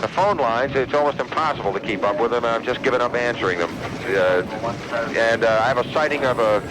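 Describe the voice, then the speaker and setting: Male actor as worn-down radio newsman in an invasion drama: anxious, halting, natural, average pitch, heavy background noise on an old broadcast. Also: natural voice. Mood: harried. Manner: hesitant, halting delivery with repeated phrases. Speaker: news anchor. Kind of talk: radio drama newscast, ad-libbed sounding.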